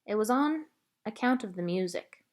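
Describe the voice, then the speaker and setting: A woman voicing a shy, lonely, gentle male pet-store clerk from a storybook, with halting, folksy talk. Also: high-pitched, lively intonation. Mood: subdued, confessing.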